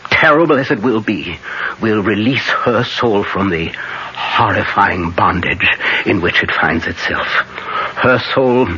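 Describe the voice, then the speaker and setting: An actor playing a grave, commanding older professor who hunts vampires in a classic radio horror drama, speaking solemnly with emphatic dramatic pauses. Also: male voice, lowish voice, bright, forceful tone. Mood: solemn, urgent. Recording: dull, noisy vintage radio broadcast.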